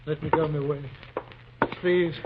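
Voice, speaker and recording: An actor playing a desperate, weary wandering actor and fugitive with theatrical, deliberate delivery in a 1950s radio drama. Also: male voice, a little high, fairly even intonation, natural voice. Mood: pleading. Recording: muffled, noisy vintage radio recording.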